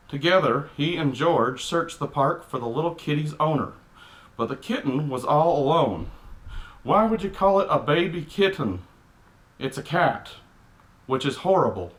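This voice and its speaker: A man's voice: a little high, expressive, measured pace, a bit bright. A man putting on a cranky middle-aged Texan dad with a Texan accent, reading a picture book aloud with blunt, exasperated asides. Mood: grumbling. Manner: grumpy, exasperated, blunt, mildly profane. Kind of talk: comic character storybook reading with grumbling asides.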